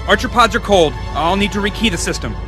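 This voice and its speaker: A man's voice: sits high, animated, fast talker, bright, forceful tone, faint music behind. A man playing a dutiful military crewman in a sci-fi game, giving clipped, urgent status reports. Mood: alert, urgent.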